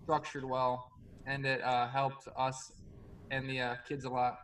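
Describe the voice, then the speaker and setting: A man's voice: mid-range voice, monotone, measured pace, fairly bright tone, rough recording. A young man in his twenties who stutters, speaking hesitantly with many fillers as he hosts a casual chat. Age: 20s. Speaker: podcast host, assistant coach. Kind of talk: casual conversation.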